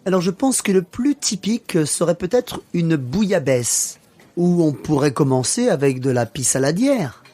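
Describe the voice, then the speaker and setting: Man acting a friendly, animated French family man. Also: a little high, moderate pace. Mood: helpful. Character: friendly joking French accountant, father of three. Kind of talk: scripted learner radio play.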